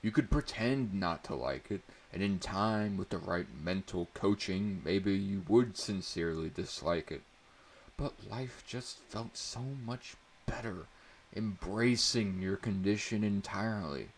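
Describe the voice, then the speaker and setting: A man narrating a story as a dramatic reading, in a measured, theatrical manner. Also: deep voice.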